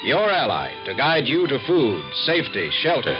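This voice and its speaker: A male actor plays an earnest American newspaper reporter in a 1950s radio documentary drama. His delivery is emphatic and clipped, with lively swings in pitch. The recording is dull and vintage, with music clearly behind him. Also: moderate pace, lightly acted. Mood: dramatic, proud. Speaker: reporter and narrator.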